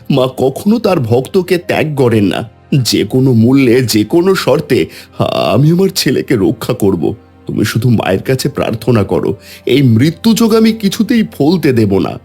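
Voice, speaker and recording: A man's voice: mid-range voice, sing-song, normal speed, a little mellow, slightly rough, faint music behind. Man acting a devout, emotional father, swooping delivery. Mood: resolute, soothing. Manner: reverent, emotional, pleading. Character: devout, anxious landowner father, humble and desperate. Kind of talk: dramatic devotional cartoon dialogue.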